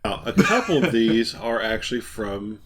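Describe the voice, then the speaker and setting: A man explaining old gear casually as a show host, in a halting, rambling, enthusiastic way. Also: lowish voice, big pitch swings, a crowd in the background. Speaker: host of a hobby radio show. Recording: light background noise.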